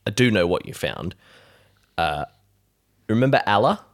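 A man voicing a worried, apologetic man explaining himself haltingly in a tabletop role-playing show. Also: lowish voice, sing-song. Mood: earnest, apologetic.

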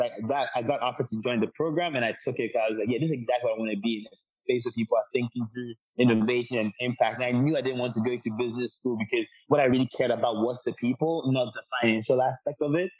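Husky-voiced man from Ghana, earnest storyteller. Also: mid-range voice. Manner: earnest, talkative, frequent restarts. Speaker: guest. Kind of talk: storytelling interview answer. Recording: dull lo-fi sound.

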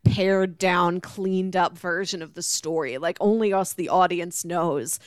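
A woman's voice: lowish voice, steady tone, unhurried, clear, resonant tone, no echo. A woman hosting a podcast, chatting casually about a book and acting out bits as she retells it. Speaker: podcast host.

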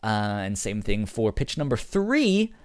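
A man with a fairly low voice co-hosts a casual podcast. He stutters, repeating syllables and words, and his pitch moves around a lot. The recording is dry, with very little room sound. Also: very animated, measured pace, clean tone, no room sound. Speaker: podcast co-host.

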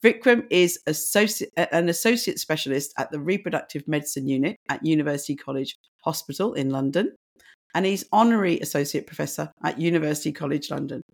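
Croaky-voiced woman podcast host. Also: sits low, fast talker. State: hoarse, croaky from lack of sleep. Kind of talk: scripted guest introduction, then interview questions.

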